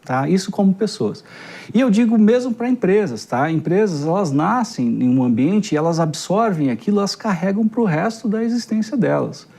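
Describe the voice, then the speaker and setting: A man's voice: high voice, lively intonation, moderate pace, gravelly, warm tone. A man with a São Paulo Brazilian accent, a guest answering interview questions in a thoughtful way, often repeating words as he searches for phrasing. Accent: São Paulo Portuguese. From Guarulhos, Brazil. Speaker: guest, innovation executive.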